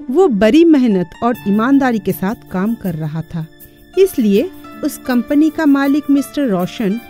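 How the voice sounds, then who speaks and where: A woman's voice: on the high side, dark tone. A woman narrator delivering scripted narration for a moral story.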